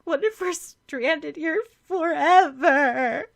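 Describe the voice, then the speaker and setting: A woman's voice: high-pitched, slow pace, gravelly, voice pushed up, heavily acted. A woman voicing a frightened, panicky teenage girl on the verge of tears in an audiobook story. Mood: sobbing, panicked.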